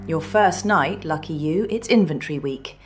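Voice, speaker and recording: An actress playing a brisk, wry woman in charge of a secret archive, clipped and dry, in an audio drama. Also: low-pitched, lightly acted. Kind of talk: scripted audio drama acting. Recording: music under the voice.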